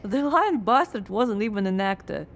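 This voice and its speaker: A woman's voice: mid-range voice, lively intonation, normal speed, close to an everyday voice. A woman playing a skeptical, frazzled scriptwriter in a radio drama, dry and sardonic.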